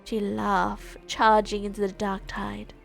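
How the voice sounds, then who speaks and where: A woman streamer casually playing through a game live, reading its text aloud in a halting, measured way. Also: medium pitch, monotone, creaky. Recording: game music behind voice. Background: video game music.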